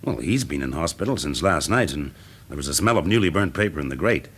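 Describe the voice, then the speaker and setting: An actor playing a shrewd, wry fire investigator with a British accent in a classic radio drama, explaining things drily. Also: male voice, a bass voice, expressive, fast talker, a bit bright, husky, heavily acted. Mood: brisk, businesslike. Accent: British English.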